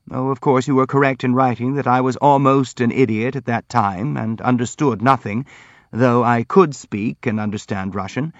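A male narrator plays a timid, well-mannered young prince from a classic novel. He is earnest and anxious to be fair, quick to concede and apologise, in a plainly mid-pitched voice. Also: fairly bright tone, dry recording, muffled recording, lightly acted. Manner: polite, earnest, hastily apologetic.

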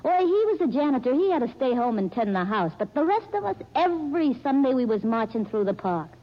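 A woman's voice: sits high, big pitch swings, brisk. A brash, wisecracking city girl. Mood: breezy. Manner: breezy, wisecracking, slangy. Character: brash chatty young working-class woman. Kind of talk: 1940s radio acting. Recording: dull old radio recording.

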